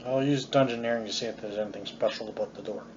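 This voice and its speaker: A man's voice: fairly low pitch, steady tone, fast talker. A man playing a tabletop game, chatting casually and joking with the group. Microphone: phone recording the group. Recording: noisy muffled phone recording of group.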